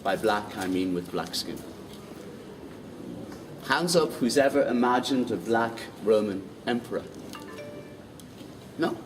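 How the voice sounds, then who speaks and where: A man guiding a walking tour outdoors, lively and quizzing the group with questions. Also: medium pitch, slow pace, pauses often. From Ireland. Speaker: tour guide. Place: outdoors in old city streets. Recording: distant mic, street noise.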